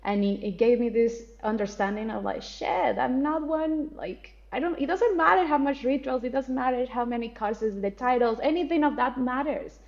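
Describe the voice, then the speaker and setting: A woman's voice: a little high, moderate pace, few pauses, muffled recording. A woman from Colombia, talking candidly and expressively as an interview guest, laughing easily and swearing casually. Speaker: guest, spiritual teacher.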